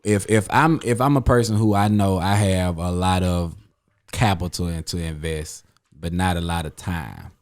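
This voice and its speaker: A man hosts a relaxed chat among friends. He talks in loose, rambling stretches full of slang and fillers. His voice sits on the low side. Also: moderate pace. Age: 30s. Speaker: podcast host.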